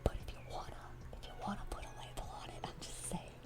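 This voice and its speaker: A woman playing a dorky, lovestruck young demon in a whispered ASMR roleplay, speaking in a breathy, earnest, flustered way. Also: fast talker, close to an everyday voice. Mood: flustered, hopeful. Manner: flustered, stammering, gushing, earnest.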